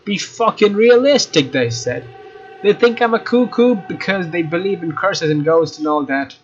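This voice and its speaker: A man doing a let's play, reading visual novel narration aloud in a casual, expressive way with informal asides. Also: on the high side, normal speed, breathy edge, faint music behind. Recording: dull lo-fi mic, faint noise.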